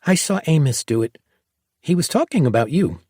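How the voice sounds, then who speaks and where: A man's voice: sing-song, normal speed, a little mellow, husky, dry recording, studio quality. A male narrator voicing a feisty, stubborn little girl who teases and insists. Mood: teasing. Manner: raised pitch, bratty and insistent.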